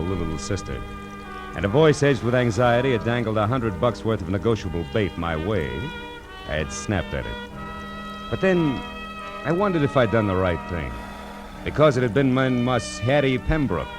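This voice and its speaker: An American actor playing a world-weary, sardonic private detective in a 1940s radio drama, deadpan and clipped. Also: male voice, on the low side, natural voice. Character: wry, world-weary male private detective, blunt and cynical. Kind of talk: 1940s radio acting, first-person noir narration. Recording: vintage 1940s radio, music behind.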